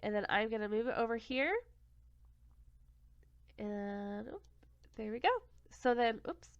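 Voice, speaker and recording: A young woman with a blocked-up, congested voice explains craft software one click at a time. Her tone sits fairly high and smooth, and she is recorded close and dry. The home recording sounds a little muffled and lo-fi. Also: fairly even intonation, unhurried, dry recording. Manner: step-by-step, self-correcting, plain and friendly. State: congested from a cold. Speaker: craft tutorial host. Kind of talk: screen-recorded software tutorial.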